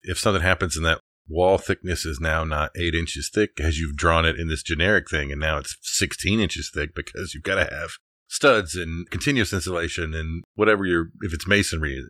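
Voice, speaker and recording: A man's voice: deep voice, brisk, bright, forceful tone, no room sound, clean studio sound. A man co-hosting a podcast, talking in an informal, agreeable, conversational way. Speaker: podcast co-host, architecture teacher. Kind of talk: casual conversational podcast talk.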